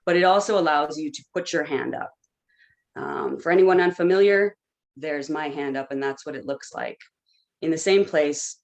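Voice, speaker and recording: A woman opening an online conference over a video call, giving friendly, practical instructions with light jokes. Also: low voice. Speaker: conference organizer. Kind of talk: housekeeping announcements.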